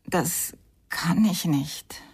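An actress playing an anxious but determined middle-aged mother, with a husky voice, in a radio crime drama. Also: lowish voice, drawn-out words, a little mellow, whispered, exaggerated voice. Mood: subdued, strained. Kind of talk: 1990s German radio crime drama acting.

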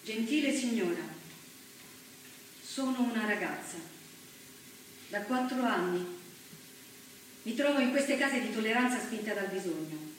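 A woman's voice: a little high, lively intonation, unhurried, pauses often. An actress playing a wronged, pleading young brothel woman, speaking her letter earnestly as a stage monologue. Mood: confiding. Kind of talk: theatrical monologue. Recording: very echoey theatre, distant, faint noise.

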